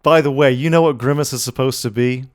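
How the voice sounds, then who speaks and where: A man's voice: mid-range voice, steady tone, quick pace. A man co-hosting a podcast, bantering casually in slangy, opinionated talk with some swearing. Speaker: podcast co-host.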